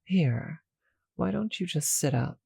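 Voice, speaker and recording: A performer plays a doting, possessive vampire in an intimate audio roleplay. The voice is mellow and unhurried, with a creaky, breathy purr. It teases softly and sounds very close and dry. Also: mid-range voice, animated, no echo, full character voice. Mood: tender, doting. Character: seductive, doting vampire with a teasing, possessive streak.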